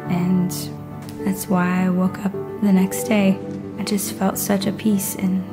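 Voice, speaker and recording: A woman's voice: medium pitch, level tone, slow pace. A woman giving a solemn, confessional personal testimony. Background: music.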